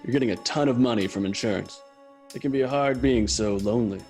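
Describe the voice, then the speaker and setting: A man playing a deadpan, gullible detective with a clipped manner in a comedy noir radio play. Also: mid-range voice, fairly quick, lightly acted. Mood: earnest. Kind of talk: radio drama comedy acting. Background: music.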